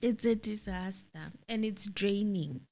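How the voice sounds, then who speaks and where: A woman's voice: mid-range voice, warm tone. A woman co-hosting a casual live stream, chiming in with agreeing remarks. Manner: unhurried, agreeing interjections. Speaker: co-host.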